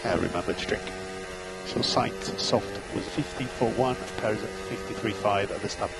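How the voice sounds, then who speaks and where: A male race engineer reads out gaps and lap times in short, clipped, steady calls. His voice comes through a team radio link, with loud engine noise behind it. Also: lowish voice, moderate pace.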